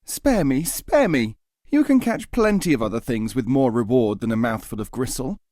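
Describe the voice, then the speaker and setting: A man's voice: medium pitch, expressive, moderate pace, a little mellow, no echo, clean studio sound, lightly acted. A man voicing a cornered scorpion who pleads and bargains for his life in a storybook reading. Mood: urgent pleading. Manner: pleading, persuasive.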